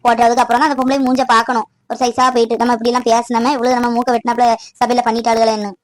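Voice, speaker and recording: A woman's voice: a little high, little pitch movement, racing, no echo, close to an everyday voice. A woman playing a protective middle-aged aunt in a family drama, chatty and insistent, with a colloquial southern Tamil Nadu accent. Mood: aggrieved, confiding.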